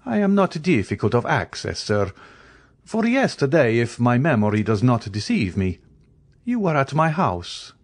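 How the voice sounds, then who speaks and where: A man reading the part of a calm, commanding count who speaks with cool dignity. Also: medium pitch, voice pushed up. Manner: measured, lofty, quietly authoritative.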